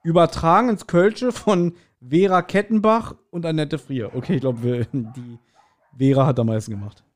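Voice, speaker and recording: A man's voice: on the high side, moderate pace. A man co-hosting a podcast in casual chat, with dry, self-deprecating banter. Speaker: podcast co-host.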